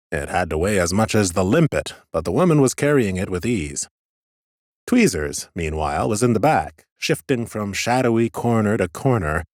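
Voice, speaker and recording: Male audiobook narrator, lowish pitch, dry studio sound, measured storytelling, scripted fantasy narration. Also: dry recording. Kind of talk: scripted first-person fantasy narration with acted dialogue.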